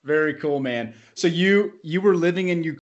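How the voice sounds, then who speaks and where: A man hosting a casual podcast interview, asking questions with quick upbeat reactions. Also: fairly high pitch, muffled recording. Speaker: podcast host.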